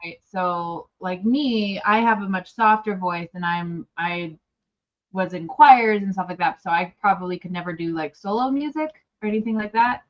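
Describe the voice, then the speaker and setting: A woman co-hosting a comedy podcast with dry, teasing quips and a soft voice. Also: lowish voice, normal speed. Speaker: podcast co-host. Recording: dull lo-fi podcast audio.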